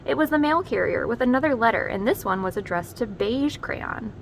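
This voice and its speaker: A woman presenting a read-aloud, narrating a children's storybook expressively with measured emphasis on names and key phrases. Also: a little high, expressive, vocal fry, slight background noise.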